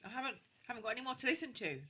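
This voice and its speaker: A woman's voice: a little high, animated, very fast, sharp tone, husky. A woman chatting casually and enthusiastically as a guest on a podcast. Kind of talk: casual chat.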